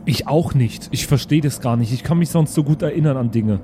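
A man's voice: medium pitch, a little fast, mellow, soft background music, lightly acted. A man playing a curious, stubborn son who argues naively and colloquially with his papa in an improvised audio drama. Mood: curious, puzzled.

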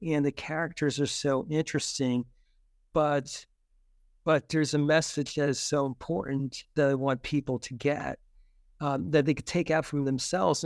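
A man speaking casually as an author being interviewed, haltingly repeating and rephrasing his thoughts. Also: on the high side, no room sound, studio quality. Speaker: children's book author, podcast guest.

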